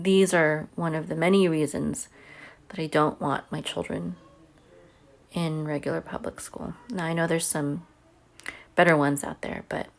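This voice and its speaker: A woman's voice: deep voice, vocal fry. A homeschooling mother telling a personal story to camera in a chatty, earnest way. She says she has a big voice.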